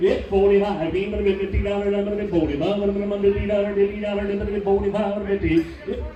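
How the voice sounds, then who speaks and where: A man working as an auctioneer, calling bids in a rapid, rhythmic sing-song chant through a PA at an auction event. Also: high voice, little pitch movement, lo-fi sound. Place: large hall at an auction event. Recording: roomy hall sound from far off, music behind.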